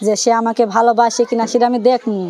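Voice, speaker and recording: A young woman speaks quickly in a fairly high voice. Her speech is colloquial Bangladeshi, plain and unpolished. She tends to repeat herself as she answers in an informal interview. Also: little pitch movement, dark tone. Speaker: interviewee telling her life story.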